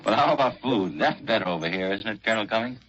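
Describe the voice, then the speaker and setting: A man's voice: on the low side, lively intonation, fast talker, fairly bright tone, slightly rough. An American man conducting a chatty 1940s radio interview in a folksy, conversational way. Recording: muffled, slightly noisy 1940s radio.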